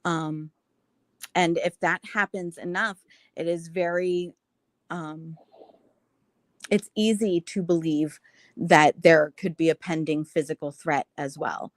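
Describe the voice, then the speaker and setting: Woman panelist, earnest and measured, lower pitch, dry recording. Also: fairly even intonation, dry recording. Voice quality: self-described naturally high-pitched voice. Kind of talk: panel discussion.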